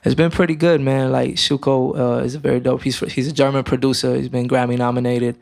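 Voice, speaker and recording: Gravelly young man from Romania, halting. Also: medium pitch. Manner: relaxed, halting, many fillers. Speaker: guest musician. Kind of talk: casual interview.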